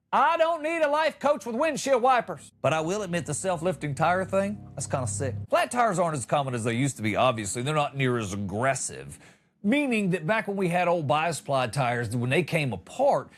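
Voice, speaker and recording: A middle-aged man hosting a comedy podcast, telling stories in a folksy, colloquial and punchy way with comic exasperation. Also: on the high side. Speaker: comedy podcast host and former radio entertainer. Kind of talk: comedic storytelling monologue.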